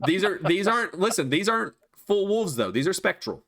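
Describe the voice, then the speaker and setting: A man's voice: high voice, sing-song, fairly quick, a bit bright, a little hiss or hum. A man working as game master, running a tabletop game and calling out moves and dice rolls.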